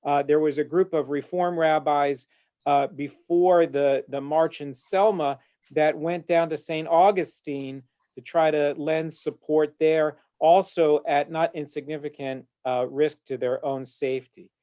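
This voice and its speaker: A man's voice: on the high side, fairly even intonation, unhurried, warm tone. A man speaking as a rabbi on a discussion panel, earnest and eloquent with frequent filler uhs, talking from his office over a video call. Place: home office.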